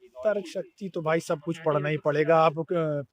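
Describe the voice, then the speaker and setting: A man's voice: a little high, steady tone, normal speed. A young man answering casually as an exam candidate, peppering his talk with buddy-style address terms. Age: young adult. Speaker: exam candidate giving review. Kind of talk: casual chatty interview answers.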